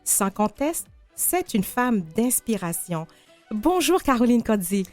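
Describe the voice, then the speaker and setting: A woman's voice: a little high, sing-song, slow pace, a little mellow, faint music behind. A woman hosting a radio interview show, presenting and questioning her guest.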